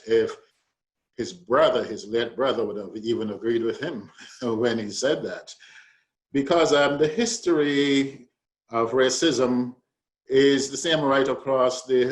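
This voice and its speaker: Deliberate man with a mid-range voice. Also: mid-range voice, low-quality recording. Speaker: panelist, author and researcher. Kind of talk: considered panel commentary. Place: online video call.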